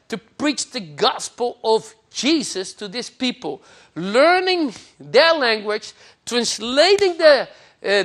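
A man's voice: very high voice, fairly bright tone. A man in his forties from the Netherlands, speaking with a Dutch accent as he preaches an earnest, emphatic sermon in a TV studio on a lapel mic. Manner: earnest and emphatic, gesturing with both hands. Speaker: pastor. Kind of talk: televised sermon teaching. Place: TV studio set.

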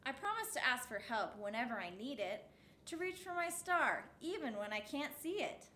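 A woman in her thirties reading a picture book aloud for children's storytime, phrase by deliberate phrase, in a roomy indoor space. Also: sits high, sing-song, sharp tone. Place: indoor set with backdrop, seated. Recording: roomy echo, faint background noise.

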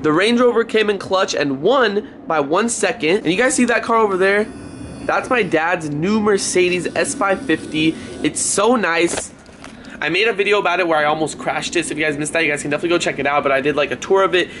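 High-pitched young American man vlogging. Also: brisk. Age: young adult. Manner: youthful, slangy. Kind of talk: casual vlog chat. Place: inside a family house. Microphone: handheld camera at arm's length. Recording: clear background noise, handheld vlog camera.

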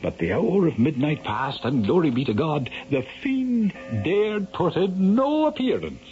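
An old Irish storyteller spinning a ghostly tale with theatrical relish. His pitch swoops about in a very animated way. The sound is a dull vintage radio drama broadcast with faint music. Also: unhurried. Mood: dramatic. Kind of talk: 1970s radio drama acting.

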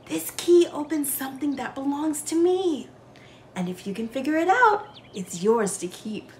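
A woman's voice: sits high, animated, moderate pace, a little hiss or hum. A woman voicing a kindly, teasing grandfather in a children's storybook. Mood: hushed, secretive. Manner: teasing, gentle, twinkly. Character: kindly, playful doting grandfather. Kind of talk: children's storybook read-aloud.

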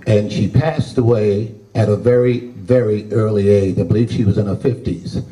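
A man's voice: on the low side, warm tone, far from the mic. Older male historian, folksy lecture, heard from across a library hall. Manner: folksy, unhurried, conversational. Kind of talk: public talk to a library audience. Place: large library room with an audience. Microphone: handheld mic at a podium.

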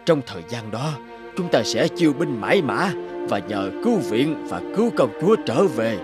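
A man's voice: medium pitch, big pitch swings, normal speed, close to an everyday voice. Man as a formal, persuasive court chancellor, music behind. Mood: persuasive, grave. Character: deferential scheming court chancellor bearing grave news. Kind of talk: cartoon folk tale dialogue.

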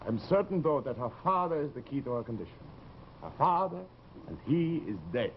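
A man's voice: on the high side, lively intonation, fairly quick. A middle-aged man playing a grave, courteous doctor in a vintage television drama, speaking in a formal, concerned way. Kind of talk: 1950s television drama acting. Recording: muffled, noisy old TV soundtrack.

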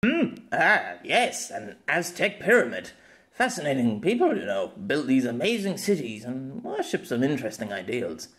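A man's voice: medium pitch, big pitch swings, normal speed, fairly bright tone. Guy doing an awkward good-natured storyteller man in an audiobook, rambles n hesitates, voice goes up and down. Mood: explanatory. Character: awkward, good-natured foreign-born male storyteller, explaining himself.

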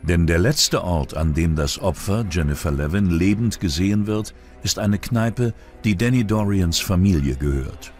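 A man's voice: sits low, expressive, normal speed, a little mellow, faint music behind. A man reads scripted true-crime documentary narration.

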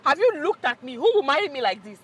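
A woman's voice: sits high, sing-song, fast talker, a bit bright. A woman with a Nigerian accent playing a jealous, combative scorned wife in a film drama, repeating her demands. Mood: indignant.

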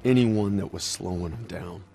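An actor playing a grim, resolute soldier in a war game. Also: male voice, low-pitched, normal speed, creaky, warm tone, slight background noise. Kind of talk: dramatic war game acting.

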